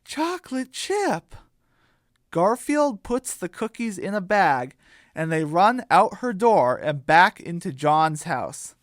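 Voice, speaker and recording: Slow, higher-voiced man narrating a story. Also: sing-song, no room sound, clean studio sound. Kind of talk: scripted narration of a comic audio story.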